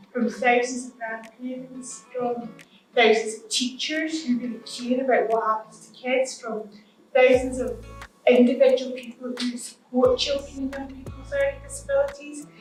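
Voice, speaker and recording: A woman speaking at a panel Q&A, earnest and emphatic, building her point through repeated phrases. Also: high voice. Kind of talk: audience response at a panel Q&A. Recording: very echoey hall, distant mic, faint music.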